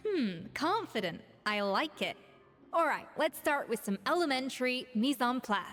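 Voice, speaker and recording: A woman voicing a proud, exacting young female master chef in a video game, crisp and self-assured. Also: high voice, very animated, normal speed, fairly bright tone. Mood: brisk, instructive. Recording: game music behind voice.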